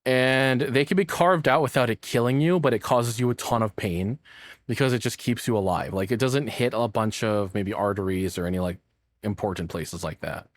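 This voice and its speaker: A man's voice: medium pitch, normal speed, no room sound, studio quality. A man giving casual, joking reaction commentary, rambling along and swearing freely. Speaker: reactor, streamer.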